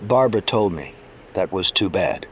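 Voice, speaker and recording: A man's voice: sits low, expressive, moderate pace, fairly bright tone, a little hiss or hum. A man playing a middle-aged engineer and former sidekick in an audio drama, world-weary and bitter, with a casual, wry delivery. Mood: defensive.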